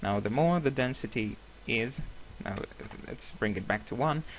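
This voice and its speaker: A man whose first language is Hebrew, giving a screen-recorded software tutorial with many hesitations and self-corrections. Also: medium pitch, moderate pace, slight background noise. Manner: apologetic, laughs at himself.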